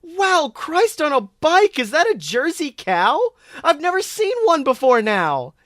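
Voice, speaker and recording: A man voicing an excitable, ditzy young woman assistant who gushes at full volume. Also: sits high, moderate pace, no room sound, clean studio sound, pitched above the performer's own voice. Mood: excited.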